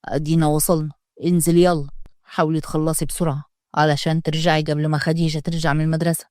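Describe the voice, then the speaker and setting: A woman's voice: low-pitched, little pitch movement, quick pace, warm tone, no room sound, studio quality, voice pushed down, lightly acted. A woman voicing a strict, domineering father, giving curt orders in a storybook reading. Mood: stern. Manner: commanding, curt, lays down rules. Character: stern domineering Upper Egyptian man, strict father.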